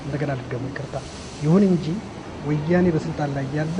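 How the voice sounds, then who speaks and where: A man's voice: fairly high pitch, racing, background noise. A man asking questions in a formal press-conference style.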